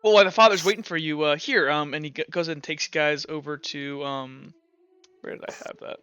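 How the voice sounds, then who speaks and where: A man's voice: fairly high pitch, steady tone, brisk, pushed and bright, smooth, lo-fi sound, soft background music. A man narrating as game master, talking loosely with many fillers.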